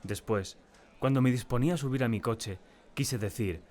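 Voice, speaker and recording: A man acting a tormented, terrified diarist in a dramatic first-person audiobook narration, with a solemn and deliberate, theatrical delivery. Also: mid-range voice, a little mellow, lightly acted. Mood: uneasy, brooding. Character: tormented, terrified man confiding in his diary.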